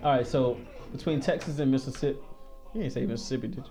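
A young man hosts a podcast in an easygoing, jokey way, laughing often and restarting his sentences as he goes. His voice sits at an ordinary middle pitch. Music plays clearly behind him. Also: quick pace. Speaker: podcast host. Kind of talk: casual chat.

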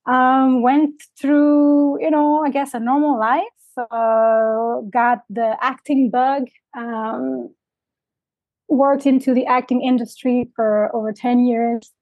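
A woman's voice: high-pitched, fairly even intonation, slow, no echo, phone-quality sound. A woman from the Dominican Republic, raised in Canada, being interviewed as a life coach; she speaks reflectively and searchingly, with many fillers. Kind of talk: interview conversation. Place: remote, traveling in Mexico.

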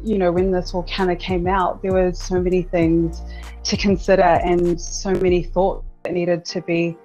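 A woman's voice: lowish voice, flat delivery, normal speed, mellow, dull audio, soft background music. A woman in her thirties from New Zealand, a business owner talking candidly as a podcast guest, chatty with frequent laughs and repeated words. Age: 30s. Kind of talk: casual interview chat.

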